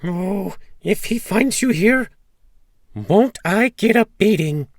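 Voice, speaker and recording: A man's voice: fairly high pitch, sing-song, measured pace, no room sound, clean studio sound, pitched above the performer's own voice, full character voice. A man voicing a giant's grumpy, fretful wife in a storybook, with a scolding, exclamatory manner. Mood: frightened, urgent. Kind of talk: storybook character voice.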